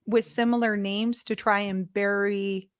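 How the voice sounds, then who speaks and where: A woman's voice: mid-range voice, flat delivery, a little slow, smooth, no room sound, clean studio sound. A woman on a livestream panel chatting casually, in an emphatic, slangy, conspiratorial manner. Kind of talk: casual chat.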